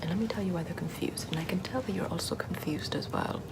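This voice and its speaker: A woman playing a sardonic witch healer in an ASMR roleplay, soft-spoken and often whispering in a conversational way. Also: fairly low pitch, fast, lightly acted. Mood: wry, confiding. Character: sardonic Puritan-era witch, chatty, scornful yet soothing healer. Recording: clear background ambient noise.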